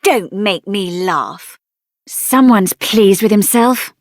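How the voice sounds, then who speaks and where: A woman voicing a feisty, sassy, sharp-tongued young sorceress out for revenge in a video game. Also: medium pitch, takes it slowly, no echo, clean studio sound. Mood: sassy, scornful.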